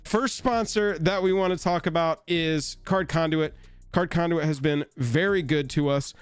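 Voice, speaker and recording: A man's voice: a little high, moderate pace, smooth, no room sound, soft background music. A young man, co-hosting a card game live stream in a jokey, playful way and reading a sponsor spot. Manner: jokey, playful, breaks into silly voices. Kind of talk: casual live-stream chat and sponsor read.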